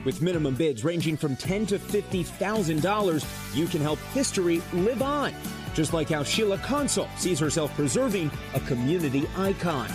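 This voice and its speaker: A man's voice: a little high, animated, moderate pace, over music. A young adult man working as a news correspondent, giving scripted narration and reporting on location in an upbeat way.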